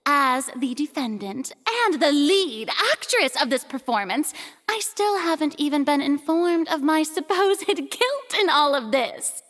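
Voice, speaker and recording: Haughty goddess for an anime game: grandiose, theatrical, very animated, unhurried delivery. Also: female voice, high voice. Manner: grandiose, dramatic, declamatory. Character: theatrical, haughty young goddess on trial as defendant.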